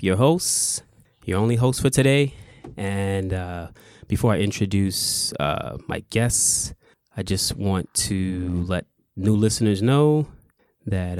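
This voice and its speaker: A man with a fairly low voice hosts a casual podcast, talking with upbeat energy and some fillers. His voice is recorded close and dry, with no room sound. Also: a little mellow, clean tone, dry recording. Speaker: podcast host.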